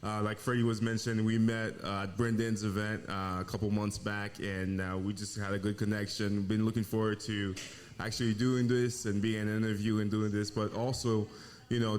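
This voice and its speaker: A man from Haiti, a financial planner, talking in an earnest, measured way as a guest. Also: fairly low pitch, a little mellow, slight background noise. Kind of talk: casual interview.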